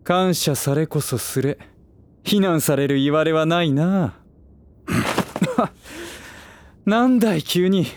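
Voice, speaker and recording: A man playing a suave, middle-aged gentleman who teases with smug, playful condescension in a voice drama. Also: on the high side, big pitch swings, takes it slowly, long pauses, full character voice. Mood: teasing. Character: suave teasing older man, playfully villainous. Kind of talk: voice drama acting.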